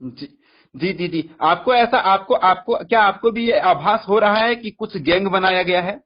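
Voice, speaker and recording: A man's voice: sits high, measured pace. A man interviewing someone over the phone, deferential, often putting in short words of agreement as he listens. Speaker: interviewer recording a phone call. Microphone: phone call. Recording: muffled lo-fi phone call recording.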